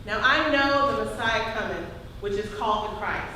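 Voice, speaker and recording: A woman playing an earnest, excitable Samaritan woman in a theatrical live church dramatization. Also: mid-range voice, normal speed, lightly acted. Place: church hall, live stage performance. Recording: distant echoey hall, faint noise.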